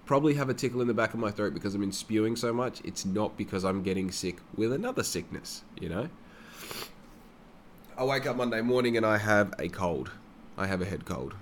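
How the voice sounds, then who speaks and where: Rambling, sweary man, low voice. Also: animated, a little fast, slight background noise. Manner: rambling and heavily profane. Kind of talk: casual personal monologue.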